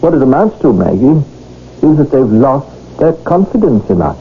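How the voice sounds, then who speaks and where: An actor playing an earnest, mild London shopkeeper husband in a radio drama, hesitant and pleading, with stammers. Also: male voice, mid-range voice, big pitch swings, normal speed, breathy edge, phone-quality sound, soft background music. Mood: distressed, bewildered. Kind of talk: 1970s radio drama acting. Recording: thin, noisy old radio broadcast.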